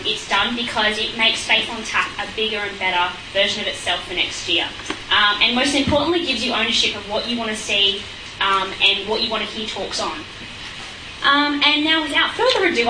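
Woman MC in an echoey hall. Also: fairly high pitch. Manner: friendly, with frequent ums. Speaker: event MC. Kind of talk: introducing a speaker. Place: event hall with audience. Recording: echoey room, far mic, noisy.

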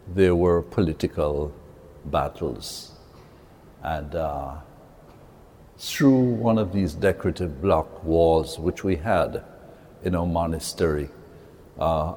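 A priest from Jamaica, giving an earnest, halting talk to a church audience, with pauses and repeated words. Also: male voice, low-pitched, dark tone, husky. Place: large cathedral. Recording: long hall reverb in a cathedral.

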